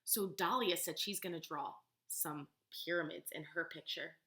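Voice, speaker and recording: A woman teacher reading a storybook aloud to young children, pausing to explain words and ask questions. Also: sits low, pushed and bright. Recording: roomy, echoey room sound.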